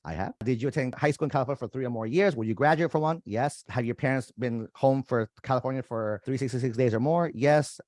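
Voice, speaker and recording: A young man walks students through an online application form, chatting casually and speaking straight to his viewers. His voice sits at a middle pitch for a man. The recording is dry and close, with almost no room sound. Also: no room sound. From United States. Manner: casual, conversational, talks to viewers directly as "you guys". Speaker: college admissions coach. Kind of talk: screen-share walkthrough of an application form.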